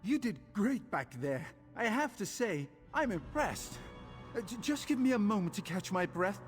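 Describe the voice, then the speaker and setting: An actor playing an earnest, nervy young adventuring companion in a fantasy game, talking conversationally. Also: male voice, high-pitched, very animated, normal speed, soft background music. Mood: relieved, earnest. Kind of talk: scripted fantasy game acting. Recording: long reverberant hall echo.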